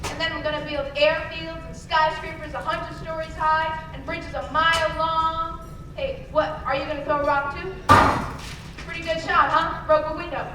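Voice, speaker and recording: A woman's voice: high voice, little pitch movement, normal speed, natural voice. A woman playing a brash, idealistic, talkative young small-town man in an old-fashioned radio play. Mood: boyish, dreamy. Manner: eager, talkative, earnest. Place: live stage performance in a large room. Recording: echoey hall, distant mic, noisy.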